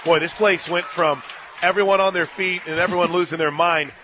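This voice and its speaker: A man calling a live softball game on radio from a stadium booth, climbing into excited shouts on big plays and laughing easily. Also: high voice, brisk, background noise. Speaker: radio play-by-play announcer. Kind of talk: live radio sports play-by-play.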